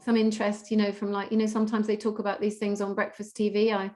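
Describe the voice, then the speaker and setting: A woman shares her own experience in a casual, unscripted way. Her pitch is average and stays quite flat, and she often hesitates as she searches for words. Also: fast. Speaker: carer and contributor. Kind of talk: casual personal account.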